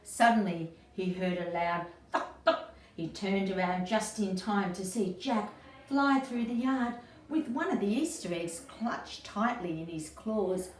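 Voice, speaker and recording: A woman's voice: mid-range voice, unhurried. A woman reading a children's storybook aloud expressively, as an educator talking to young listeners and putting questions to them. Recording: roomy echo, distant mic, faint noise.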